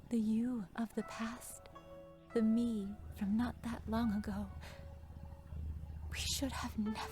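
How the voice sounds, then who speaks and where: A wistful, pleading immortal lady in a game drama. She speaks slowly in a soft, breathy, somewhat high voice full of regret. Music plays clearly behind her. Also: little pitch movement. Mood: wistful, regretful.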